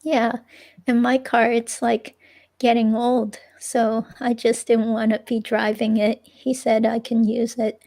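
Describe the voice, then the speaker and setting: A woman reading the part of an evasive, unsure ex-girlfriend in a police interview, with a creaky, hesitant, rambling delivery. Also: a little high, flat delivery, measured pace, darkish tone. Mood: defensive, casual. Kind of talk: dramatic reading of an interview transcript, conversational.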